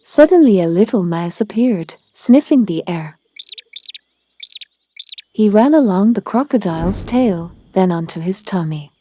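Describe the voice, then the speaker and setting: Mellow woman reading a children's storybook. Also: medium pitch, animated, measured pace. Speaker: storybook reader. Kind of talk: children's read-aloud narration.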